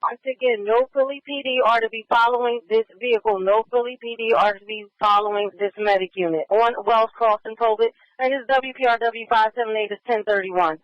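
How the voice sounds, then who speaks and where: A man's voice: very high voice, fairly even intonation, fairly quick, few pauses, no room sound. A man working as a police radio dispatcher, repeating locations and orders in clipped radio phrases. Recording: muffled scanner radio audio.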